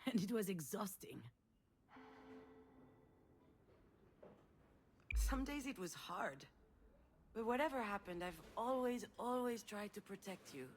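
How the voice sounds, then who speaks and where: An actress playing a guarded, self-doubting adult woman with a weary, sardonic, measured way of talking in a naturalistic video game drama. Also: medium pitch, a little hiss or hum, lightly acted. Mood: wistful, tender.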